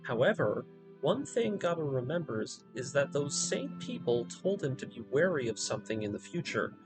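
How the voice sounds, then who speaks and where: A man giving a scripted story recap in a measured, explanatory storytelling manner, as the narrator of a lore video. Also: medium pitch, very animated, over music, low-quality recording.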